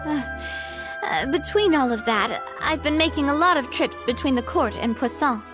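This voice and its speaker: A bubbly young woman leader from a video game. Her voice is high and animated, with an earnest, polished delivery. Music plays softly behind her. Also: normal speed.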